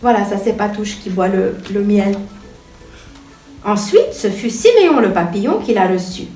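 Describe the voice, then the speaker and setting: A woman reading a children's bedtime story aloud in an expressive, theatrical storytelling manner. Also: medium pitch, moderate pace. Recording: echoey room, distant mic, music behind.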